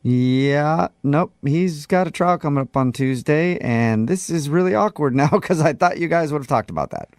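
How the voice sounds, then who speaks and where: A man's voice: a little high, normal speed, few pauses, darkish tone, dry recording, lightly acted. A man playing a chatty county court clerk on a prank phone call, casual, evasive and amused as he breaks awkward news.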